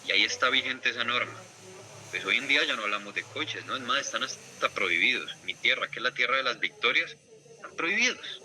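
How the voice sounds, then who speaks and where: Man, law lecturer, measured and deliberate, mid-pitched voice. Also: lively intonation, pushed and bright, a little hiss or hum, low-quality recording. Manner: slow, deliberate, pausing between phrases. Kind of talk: university lecture.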